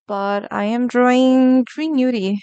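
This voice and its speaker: Smooth-voiced woman chatting on stream. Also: fairly high pitch, steady tone, a little slow, dark tone, no room sound, lo-fi sound. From Brazil. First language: Portuguese. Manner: chatty, reacts to chat messages. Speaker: artist streamer. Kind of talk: casual live-stream chat while drawing.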